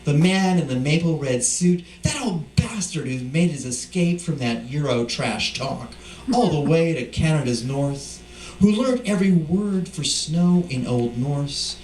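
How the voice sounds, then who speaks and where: A man giving a live poetry reading, speaking deliberately in short emphatic phrases. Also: on the high side, lively intonation, darkish tone. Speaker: poet reading at a live event. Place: indoor live reading venue. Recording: distant mic, echoey room, faint noise.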